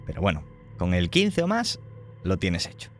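A man running a tabletop horror game as game master, laying out options in a measured way and checking in often. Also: low-pitched, wildly swooping pitch, soft background music.

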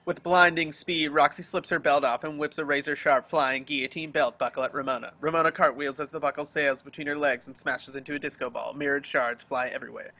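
A man's voice: on the high side, fairly even intonation, fairly quick, few pauses, sharp tone. A man reading stage directions as scripted narration for a dramatic table read over a video call.

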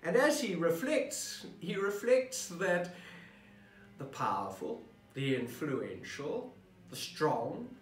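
A middle-aged man, a parish priest, reflects slowly on scripture, his somewhat high voice rising and falling with animation. He poses questions to the listener as he works through the readings. The camera sits at a distance in a roomy office. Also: very animated, soft background music. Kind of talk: sermon preparation talk. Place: office at a desk, indoors. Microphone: camera at desk distance.

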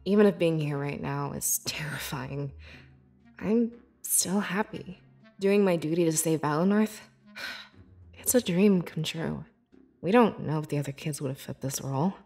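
A woman playing a young, devoted beast-folk scribe in a fantasy video game, with earnest, measured and expressive delivery. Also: low voice, faint music behind. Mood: reflective, sincere. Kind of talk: scripted video game character dialogue.

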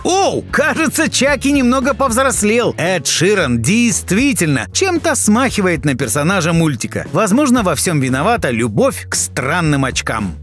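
A man's voice: sits high, big pitch swings, moderate pace, hardly any pauses, fairly bright tone, soft background music. A man reading scripted narration for an entertainment video, chatty and teasing, addressing the listener directly.

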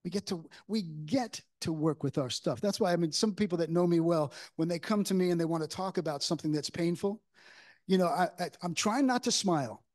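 A man in his sixties preaching a reflective, informal, conversational sermon with halting pauses into a lectern microphone in a church. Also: fairly high pitch, darkish tone. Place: church sanctuary, at a wooden lectern. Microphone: microphone on a stand at the lectern.

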